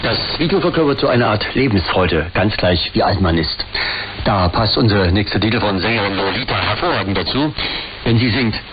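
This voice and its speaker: Low-voiced music radio presenter. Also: male voice, fairly quick, a loud crowd. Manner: relaxed, chatty song introductions. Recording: muffled shortwave radio.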